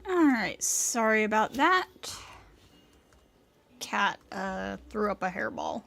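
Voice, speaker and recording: A woman's voice: medium pitch, big pitch swings, takes it slowly, vocal fry, fairly bright tone. A woman live streamer giving casual commentary while she plays a game.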